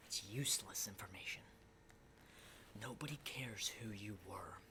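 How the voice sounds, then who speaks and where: A man's voice: fairly low pitch, close to an everyday voice. Whispering, jittery male apocalypse survivor acted by a man. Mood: blunt, intense. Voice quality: breathy whisper. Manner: halting, repetitive, insistent, often checking with "okay?". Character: jittery, hardened male zombie apocalypse survivor, socially rusty. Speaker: ASMR roleplay performer. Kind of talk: whispered ASMR roleplay monologue. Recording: clearly noisy close whisper recording.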